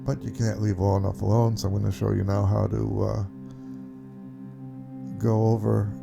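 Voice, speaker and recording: A man giving a casual, unscripted software walkthrough, explaining things step by step in an informal way. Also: on the low side, flat delivery, moderate pace, creaky, over music. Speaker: tutorial presenter.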